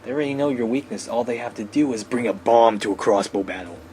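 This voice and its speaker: A man's voice: medium pitch, fast, warm tone, a little hiss or hum, close to an everyday voice. A man voicing a bossy, teasing teenage little sister from an anime visual novel, lecturing and scolding.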